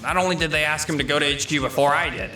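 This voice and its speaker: A man's voice: a little high, steady tone, racing, bright, forceful tone, faint music behind. A man voicing a frustrated, outspoken and insecure young salesman in an English manga dub, emotional and defensive. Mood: bitter, dejected.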